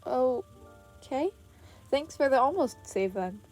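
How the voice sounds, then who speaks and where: A cocky teenage boy hero, read by a woman, casual and dry. His delivery is self-assured and wry, from an audio fan story with soft music behind it. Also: sits high, animated, slow, voice pushed up. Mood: awkward, polite.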